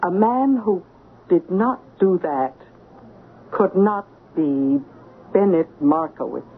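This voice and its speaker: Earnest woman, very expressive, old radio. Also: lowish voice, slow pace, narrow, tinny audio. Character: sharp, earnest female household assistant, resolute. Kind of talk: 1970s radio drama acting. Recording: thin, faintly noisy 1970s radio broadcast.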